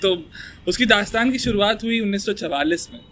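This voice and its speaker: Male chat host, high voice, bantering, noisy room. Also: a little fast, a bit bright. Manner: easygoing, bantering, laughs often. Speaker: podcast host, channel owner. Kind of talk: casual chat. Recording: noisy background.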